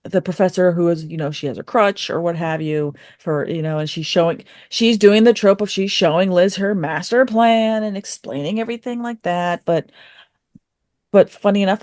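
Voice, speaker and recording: Female podcast panelist, medium pitch, dry recording; casual, hesitant, meandering delivery. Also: a little mellow, no room sound. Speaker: podcast panelist reviewing audio dramas. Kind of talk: casual review chat.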